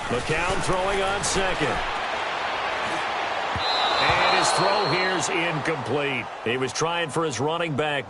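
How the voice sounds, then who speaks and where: A man's voice: fairly high pitch, heavy background noise. A man with an American accent voicing an upbeat football play-by-play announcer in a video game, with polished, punchy broadcast delivery. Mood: focused.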